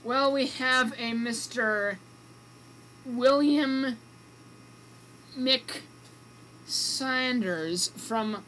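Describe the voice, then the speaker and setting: A radio co-host in improvised comedy banter, speaking in a halting, apologetic way. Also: mid-range voice, sharp tone, slight background noise, poor audio.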